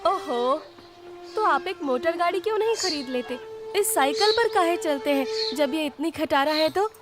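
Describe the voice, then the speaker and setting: Woman acting a high-voiced naive village wife. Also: animated, measured pace, music in the background. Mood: puzzled. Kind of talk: animated children's moral story dialogue.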